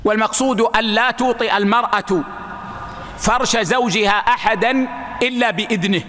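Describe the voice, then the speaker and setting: A man teaches a religious lesson in a high, bright voice with a sharp edge. He explains each point slowly and methodically, speaking to his listeners as brothers. A long reverb trails behind his words, as if he were in a large hall. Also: a little hiss or hum.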